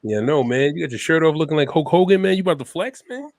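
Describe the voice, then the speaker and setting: A man's voice: fairly high pitch, lively intonation, quick pace, a little mellow. A man with a stuffy head cold hosting a laid-back wrestling talk show, tossing "man" into almost every line. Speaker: podcast host. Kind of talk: casual wrestling chat.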